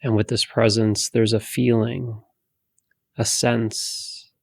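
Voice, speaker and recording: A man leading a guided meditation in a soothing, hushed manner, giving gentle instructions with long pauses. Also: medium pitch, level tone, gravelly, no echo.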